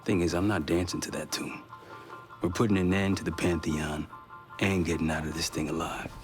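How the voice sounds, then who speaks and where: A man's voice: low voice, steady tone, normal speed, lightly acted. A man playing a tough, candid American special operations soldier in colloquial video game dialogue. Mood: candid, wary. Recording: music behind the voice.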